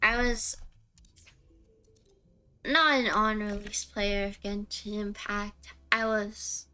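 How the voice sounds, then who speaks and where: A woman's voice: mid-range voice, fairly even intonation, takes it slowly, sharp tone, smooth. A woman streaming a video game, chatting casually in a rambling, self-interrupting way. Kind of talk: casual chat while gaming. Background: game music.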